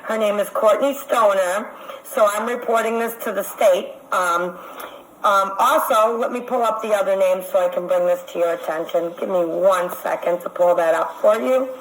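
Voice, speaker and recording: A woman's voice: mid-range voice, a little hiss or hum. Insistent woman caller in an echoey recording. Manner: insistent, accusatory, halting. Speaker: caller making a complaint. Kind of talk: phone complaint. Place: phone call. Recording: echoey phone call recording.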